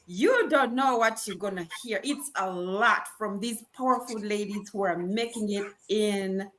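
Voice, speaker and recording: A woman from Uganda with a Ugandan accent introducing herself as a guest on a live online panel, enthusiastic and deliberate. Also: mid-range voice, fairly bright tone. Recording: noisy video call audio.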